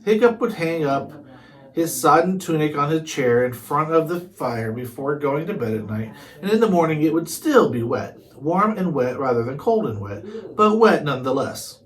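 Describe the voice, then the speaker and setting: A man's voice: fairly high pitch, very animated, moderate pace, low-quality recording. A man narrating a children's chapter book aloud, sometimes stumbling over and repeating words. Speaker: storybook reader.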